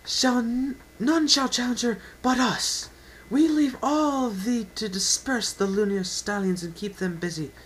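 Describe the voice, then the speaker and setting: A regal warrior pony princess, played by a man, declaims with resolute authority. The pitch is lifted high and the speech is archaic and grand, as in a fantasy storybook. The home recording carries faint noise. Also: unhurried, fairly bright tone, rough recording. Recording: amateur home recording with background whine.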